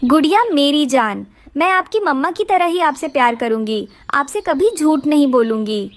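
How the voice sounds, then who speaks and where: Woman as a high-voiced motherly bird. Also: animated, normal speed, dry recording. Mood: tender. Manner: earnest, motherly. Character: caring but firm mother bird. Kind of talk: Hindi children's cartoon voice acting.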